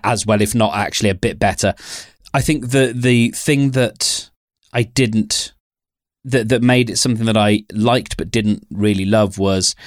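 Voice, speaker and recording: Man, low voice, podcast co-host, casual chat, measured and hesitant, dry recording. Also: no echo.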